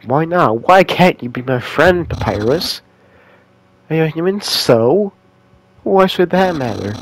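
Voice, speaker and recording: A man's voice: a little high, lively intonation, unhurried, a little hiss or hum. A man doing casual let's play commentary in a laid-back, sarcastic way, swearing casually. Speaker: gaming content creator playing and commenting. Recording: game music behind the voice. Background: video game music.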